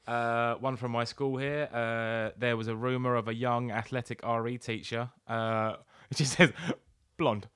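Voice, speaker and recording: A man's voice: medium pitch, flat delivery, a little slow, fairly bright tone, clean tone, no room sound, clean studio sound. A British man co-hosting a podcast, chatting casually and telling rumours in an informal, sweary, joking way. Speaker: podcast co-host.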